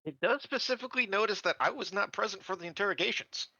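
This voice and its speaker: High, piercing, animated male gamer. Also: fast, dry recording, highs cut off. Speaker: tabletop game player. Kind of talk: casual in-character game talk.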